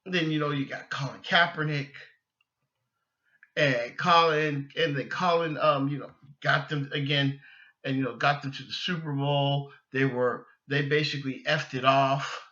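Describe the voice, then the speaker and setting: A man's voice: medium pitch, fairly even intonation, unhurried, sharp tone, muffled recording. A man hosting a sports podcast, giving casual, opinionated fan talk in a rambling way, often restarting and repeating his phrases.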